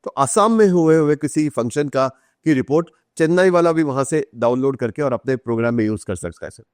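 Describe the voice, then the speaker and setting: Male podcast guest, Indian accent, mid-pitched, articulate explainer who repeats for emphasis. Dry recording. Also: animated, fairly quick, clear, resonant tone, no room sound. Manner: articulate, emphatic repetition, Hindi mixed with English terms. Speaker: author guest. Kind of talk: podcast interview, explanatory talk.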